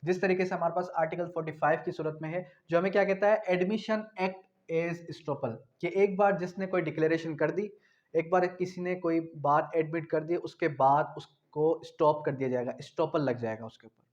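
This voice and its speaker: A man's voice: a little high, fairly even intonation, moderate pace, lo-fi sound. A man with a Pakistani accent giving a law lecture, explaining didactically with repetition, asking questions and answering them himself, and dictating definitions.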